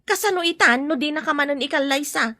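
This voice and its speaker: A woman's voice: sits high, fast, bright, forceful tone, dry recording. A woman playing a blunt, judgmental, prying character in an Ilocano audio drama, questioning in a taunting way. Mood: mocking, suspicious.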